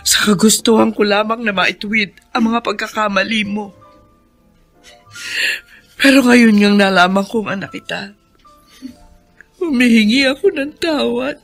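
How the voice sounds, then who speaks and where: A woman's voice: medium pitch, fairly bright tone. A woman playing a patient, remorseful middle-aged mother in a Filipino radio drama, pleading with emotion. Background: dramatic music.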